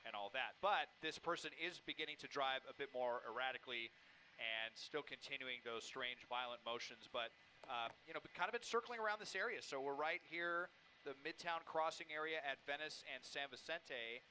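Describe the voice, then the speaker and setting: A man working as a helicopter news reporter, giving halting, improvised live commentary on a police pursuit. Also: medium pitch, bright, forceful tone, slight background noise, poor audio.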